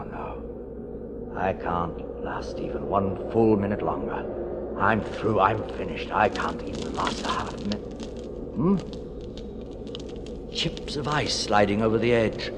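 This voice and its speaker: Radio-play lead, male, fifties, acted: fatalistic widower, low voice, dramatic musing, very noisy vintage broadcast. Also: lightly acted. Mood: straining, urgent. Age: 50s. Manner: brooding, dramatic, haltingly musing. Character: weary widowed man in his fifties, brooding, fatalistic, stubborn. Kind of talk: 1940s radio drama acting, interior monologue. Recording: noisy, hissy 1940s radio broadcast recording.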